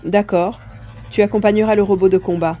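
A tiny plump mouse-beaver alien, high chirpy voice, a bit testy, audio drama. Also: male voice, steady tone, a little fast, warm tone, faint music behind. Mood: restrained, brisk. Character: small plump male mouse-beaver teleporter, plucky, easily irritated. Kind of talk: science fiction audio drama dialogue.

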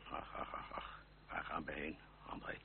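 An actor playing a bewildered, stammering old gentleman in a radio drama, with sighs and a gravelly voice. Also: moderate pace, natural voice. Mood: puzzled. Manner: stammering, hesitant, sighing. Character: bewildered, sighing old uncle, ruined gentleman fleeing revolution. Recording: noisy, humming, tinny old radio recording.

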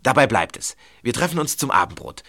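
A man's voice: mid-range voice, big pitch swings, brisk, pushed and bright, full character voice. A man playing a domineering, curt and hot-tempered innkeeper in an audio drama. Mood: curt, firm. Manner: curt, commanding.

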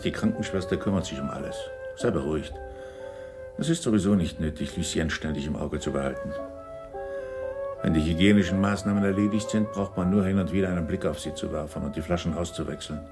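Casting: calm family carer, deep voice, reassuring and practical, radio crime drama, music underneath. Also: male voice. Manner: reassuring, matter-of-fact. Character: calm, practical male relative caring for an invalid.

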